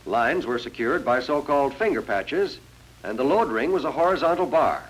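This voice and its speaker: A man's voice: medium pitch, expressive, normal speed, poor audio. A man narrating a 1940s educational film in a formal, instructive, scripted manner. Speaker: narrator of a military training film. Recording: 1940s film soundtrack, faint music.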